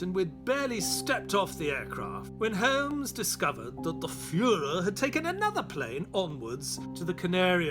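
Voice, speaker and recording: An actor playing an earnest, curious doctor and loyal sidekick in an audio drama, polite, questioning and easily astonished. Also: male voice, fairly high pitch. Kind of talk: audio drama acting with first-person narration.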